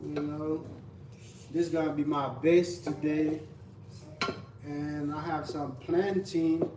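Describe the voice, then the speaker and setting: A man's voice: a little high, fairly even intonation, slow pace. Haitian-accented man chatting while cooking. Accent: Haitian Creole. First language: Haitian Creole. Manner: loose, repetitive, talks to viewers while cooking. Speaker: cooking vlogger. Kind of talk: casual live cooking chat. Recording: distant mic with faint background noise.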